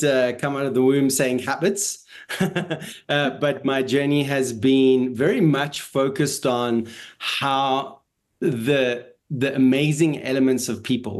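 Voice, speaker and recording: Man with Israeli-South African accent. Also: medium pitch. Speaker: guest. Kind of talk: interview answers.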